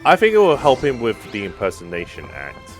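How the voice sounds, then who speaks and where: Very low-voiced woman commenting on gameplay. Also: wildly swooping pitch, a little fast. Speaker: gameplay streamer. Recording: faint game music behind.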